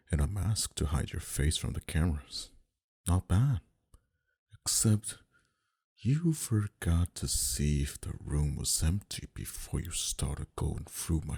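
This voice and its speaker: A man playing a dominant, flirty mafia boss in an intimate ASMR roleplay, talking in a deliberate, quietly threatening and teasing manner. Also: rumbling low, slightly rough, heavily acted. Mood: amused, menacing. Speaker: voice actor.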